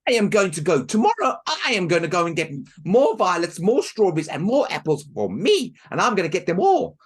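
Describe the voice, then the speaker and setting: A man storyteller voicing a spoiled, demanding young girl who whines loudly for what she wants. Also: high voice, very animated, brisk, slightly rough, pitched above the performer's own voice, exaggerated voice. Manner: whiny, insistent, loud.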